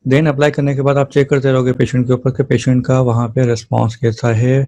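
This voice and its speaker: A man's voice: medium pitch, little pitch movement, brisk, warm tone, dry recording. A man lecturing on physiotherapy in an informal, explanatory way, mixing in English terms and checking in with short rhetorical questions.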